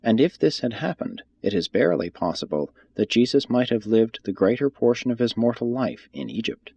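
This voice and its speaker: A man reading a religious text aloud as scripted narration, in a measured, formal manner. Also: medium pitch, big pitch swings, vocal fry, darkish tone, husky, no room sound, highs cut off. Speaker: audiobook reader.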